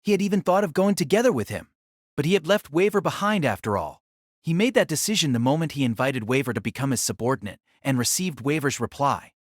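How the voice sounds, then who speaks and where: A man's voice: mid-range voice, lively intonation, fast, dry recording, clean studio sound. A man narrating a scripted audio drama as a storyteller.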